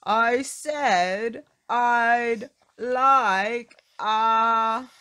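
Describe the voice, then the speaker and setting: Woman acting booming, slow-roaring storybook loud man. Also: full character voice. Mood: booming, shouting. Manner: roaring, shouting, drawn-out words.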